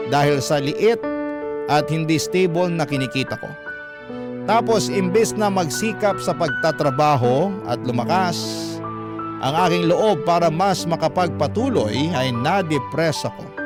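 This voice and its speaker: A man giving a dramatic scripted first-person narration for a radio drama, earnest and confessional. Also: fairly high pitch. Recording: music bed under the voice. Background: instrumental music.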